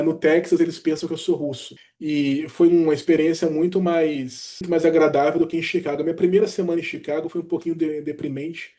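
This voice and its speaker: A man, telling his own story in an easygoing, animated way, often dropping "cara" and "né". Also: on the high side. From Brazil. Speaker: doctor sharing his career story. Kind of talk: casual personal vlog talk.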